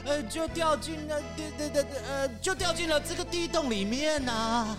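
A woman's voice: high-pitched, normal speed, loud music behind the voice, lightly acted. A woman voicing a sly, cowardly fox robber in a children's audio drama, stammering and sing-song. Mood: flustered.

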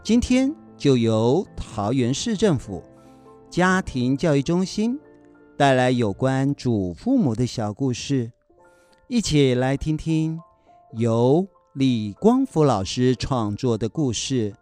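Male presenter for family radio drama intros: mid-pitched, slow, expressive scripted delivery. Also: faint music behind.

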